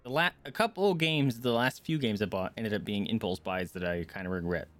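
A man's voice: on the low side, fairly quick, fairly bright tone. A man livestreaming a game, chatting informally and wandering into asides for his viewers. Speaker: game streamer. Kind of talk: casual chat while livestreaming a game.

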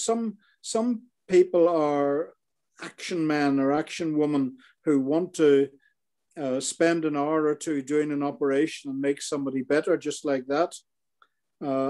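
Retired doctor, older Northern Irish man, warm and slightly high-pitched, halting, over a laptop call. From Northern Ireland. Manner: hesitant, many fillers and restarts. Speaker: retired paediatrician. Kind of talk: interview over video call. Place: living room in an armchair. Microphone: laptop webcam video call.

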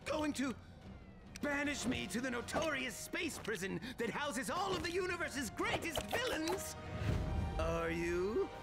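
Casting: gleeful clown arch-villain in a game cartoon, very high, wildly swooping, slow teasing delivery, music behind. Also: male voice, big pitch swings, full character voice. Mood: mocking glee. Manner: teasing, mock-innocent, drawn-out. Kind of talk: animated game cutscene acting.

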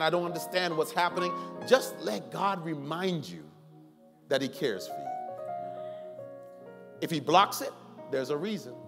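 A middle-aged man preaching a sermon as a pastor, telling personal stories with animated swings between loud pleading and quiet reflection. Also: fairly high pitch. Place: large church sanctuary, preaching from the stage. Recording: long hall reverb with faint music.

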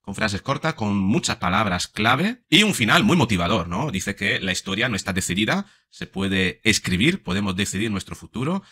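Commentator, male, 40s, accent of Spain. Lowish, bright voice, explanatory and conversational, close-miked in a home studio. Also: very animated, moderate pace, hardly any pauses. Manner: explanatory, often adding question tags. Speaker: commentator, psychologist. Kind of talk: casual speech analysis to camera. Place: small home studio room. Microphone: desk microphone up close.

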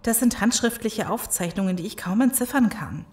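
A woman's voice: mid-range voice, animated, normal speed, darkish tone, natural voice. A woman playing a thoughtful, troubled investigator in an audio drama, pensive and a little hesitant. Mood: searching. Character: thoughtful, troubled woman explaining a scientific mystery. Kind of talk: German audio drama acting.

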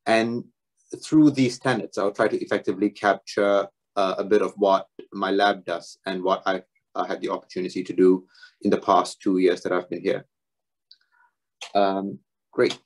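Low-voiced young man giving a research talk. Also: moderate pace, rough recording. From Singapore. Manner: relaxed, self-deprecating, with frequent fillers. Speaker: doctoral student presenter. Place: home room, video talk.

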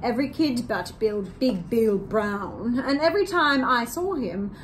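A woman's voice: on the high side, animated, a little slow, a little hiss or hum, rough recording. A young woman with a British accent reading a children's story aloud in a theatrical, exclamatory, genteel way. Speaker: co-host of children's read-aloud channel. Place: living room with piano, indoors.

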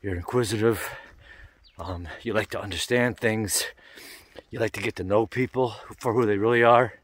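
A man quoting a gentle, kindly fellow hiker in a soft, calm and earnest voice. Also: fairly low pitch, normal speed, no echo, natural voice.

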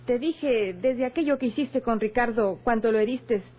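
An actress playing a stern, commanding landowner in a radio drama, formal and authoritative, with a husky voice. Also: female voice, fairly high pitch, normal speed. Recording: muffled old radio serial recording.

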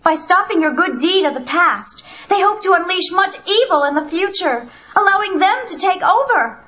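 A woman's voice: very high voice, expressive, normal speed, sharp tone, exaggerated voice. A woman playing an earnest, urgent young time-police marshal in a comic-book audio drama, speaking dramatically and emphatically. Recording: dull cassette, music behind.

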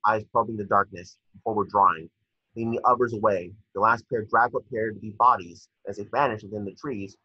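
A man's voice: lowish voice, pushed and bright, husky, dry recording. A man reading stage directions aloud as narrator at a table read, now and then stumbling over words. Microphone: video call. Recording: dull lo-fi video call audio.

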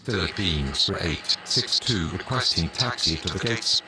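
Man as game pilot or ground controller: low-leaning voice, procedural, businesslike, very noisy sound. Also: expressive, measured pace. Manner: formulaic, procedural. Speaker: air traffic and pilot radio voice in a game. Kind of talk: scripted aviation radio phraseology.

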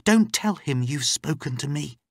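A man's voice: a little high, lively intonation, unhurried, creaky, dry recording, studio quality, pitched above the performer's own voice, full character voice. A man narrating a storybook, playing an anxious, wary woman held captive behind a locked door. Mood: wary, urgent.

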